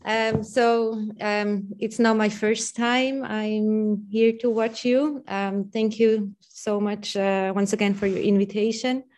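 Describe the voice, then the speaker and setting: A woman with a Swiss accent calling in to share a personal story, hesitant and full of pauses and fillers. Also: medium pitch, little pitch movement. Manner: hesitant, many pauses and fillers, soft laughs. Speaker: caller. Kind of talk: personal testimony on a call-in.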